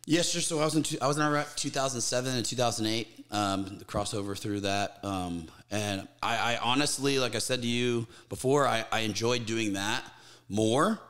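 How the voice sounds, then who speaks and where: A man's voice: mid-range voice, moderate pace, fairly bright tone. A man in his thirties, a podcast guest speaking in casual, candid conversation, swearing freely and restarting his sentences. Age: 30s. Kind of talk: casual interview chat.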